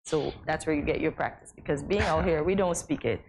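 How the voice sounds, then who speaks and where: A woman's voice: low-pitched, a little fast, a little mellow, slight background noise, rough recording. A woman co-hosting a morning TV show, chatty and agreeable as she adds her own points. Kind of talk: casual studio chat. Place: TV studio.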